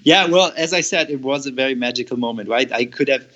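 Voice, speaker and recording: A man's voice: mid-range voice, fast, pushed and bright. Man telling a story, dull sound. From Germany. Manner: hesitant, repeats words, laughs often. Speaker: guest. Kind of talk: storytelling in an interview.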